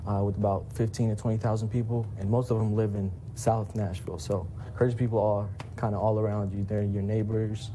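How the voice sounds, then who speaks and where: A man's voice: lowish voice, little pitch movement, brisk, hardly any pauses, dark tone, a little hiss or hum, rough recording. A man in his twenties from Iraq introducing himself in a casual, matter-of-fact way. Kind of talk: unscripted self-introduction.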